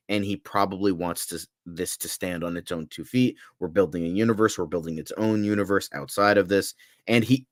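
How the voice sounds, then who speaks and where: Male co-host with a low voice, close dry sound, casual and opinionated, working out thoughts aloud. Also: fairly bright tone, no echo. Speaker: podcast co-host.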